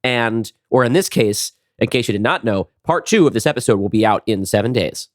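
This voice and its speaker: A man's voice: medium pitch, animated, dry recording, studio quality. A man co-hosting a podcast, talking casually about writing and often restarting as he works out his thoughts. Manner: halting, repeats words as he works out thoughts. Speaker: podcast co-host and writer. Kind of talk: casual behind-the-scenes podcast chat.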